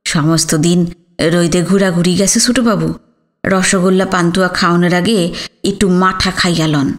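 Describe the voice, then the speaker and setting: A woman's voice: on the low side, fairly even intonation, normal speed, voice pushed down, natural voice. A woman voicing an eager, bashful village servant lad with an East Bengali accent in an audiobook, confiding and deferential. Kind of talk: audiobook character dialogue.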